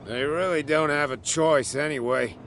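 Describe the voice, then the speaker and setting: A man voicing a gruff, suspicious island drunkard in a video game, grumbling about outsiders. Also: a little high, normal speed, fairly bright tone, slight background noise, lightly acted. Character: gruff, idle male island drunk, protective of his saint.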